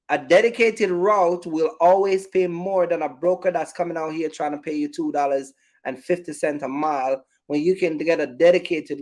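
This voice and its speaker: A man talking informally to viewers as a business vlogger, giving emphatic, repetitive advice and often checking that they follow. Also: fairly high pitch.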